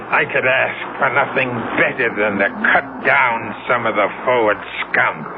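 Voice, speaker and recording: An actor playing a fearsome, jealous old sea captain in a 1970s radio drama, with a commanding, theatrical, archaic way of speaking. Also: male voice, mid-range voice, unhurried, narrow, tinny audio, lightly acted. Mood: grim scorn. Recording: noisy, band-limited 1970s radio broadcast recording.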